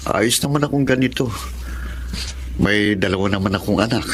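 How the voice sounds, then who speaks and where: A man playing a gentle, grieving widower in his thirties in a Filipino radio drama, with a Filipino accent. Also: fairly low pitch, normal speed, lightly acted. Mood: weary. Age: 30s. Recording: noisy ambience under the voice.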